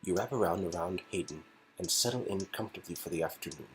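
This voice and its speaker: A man's voice: sits low, quick pace, a little hiss or hum, poor audio. A man reading out an interactive story game as narrator, in a casual second-person storytelling style. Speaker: reader and narrator of game dialogue.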